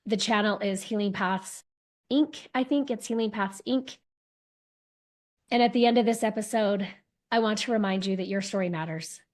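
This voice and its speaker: A woman hosting a podcast, her voice hoarse and worn from laryngitis. She speaks to her listeners in an encouraging, earnest way, at an ordinary middle pitch. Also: moderate pace. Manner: warm, encouraging appeal to listeners. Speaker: podcast host.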